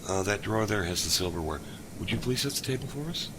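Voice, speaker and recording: An actor playing a kind, lonely older father who lost his son, speaking gently with halting pauses. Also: fairly low pitch, expressive, heavy background noise, natural voice. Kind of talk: audio drama acting.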